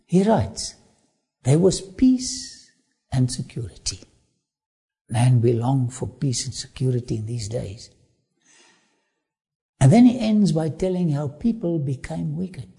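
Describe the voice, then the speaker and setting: Gravelly slow Afrikaans-accented man. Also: mid-range voice, very animated, mellow. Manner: slow, emphatic, drawn-out phrasing. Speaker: documentary presenter. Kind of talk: travel documentary narration with sermon-like asides.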